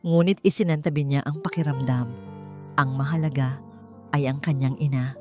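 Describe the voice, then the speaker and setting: A woman's voice: rumbling low, steady tone, moderate pace. A woman with a Filipino accent reads a scripted romantic drama as its narrator, with music behind her. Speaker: story narrator. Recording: faint music bed under the voice. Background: soft background music.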